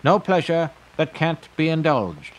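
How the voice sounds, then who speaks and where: A man playing a grandiose, eccentric tycoon pitching his pleasure empire in a declamatory audio drama monologue. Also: very animated, measured pace, poor audio.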